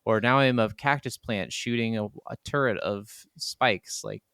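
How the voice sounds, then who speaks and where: A man's voice: fairly low pitch, measured pace, a bit bright, smooth, dry recording, clean studio sound. A man co-hosting a gaming podcast, talking in a casual, rambling way with plenty of filler "like". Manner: rambling, peppered with "like". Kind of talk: casual chat about video games.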